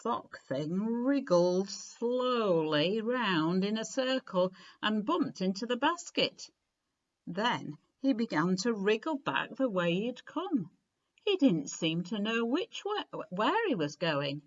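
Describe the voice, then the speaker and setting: Slow, animated woman reading a children's story. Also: medium pitch, muffled recording. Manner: deliberate, clearly phrased, dramatic emphasis. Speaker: story reader. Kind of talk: expressive children's storybook narration.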